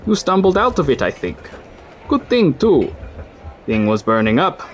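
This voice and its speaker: A chatty, folksy local in his thirties, played by a man. His voice sits a little high and moves lively up and down, with soft music under the scene. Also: normal speed. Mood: friendly. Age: 30s. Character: friendly male city dweller, folksy and helpful. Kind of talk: audio drama acting.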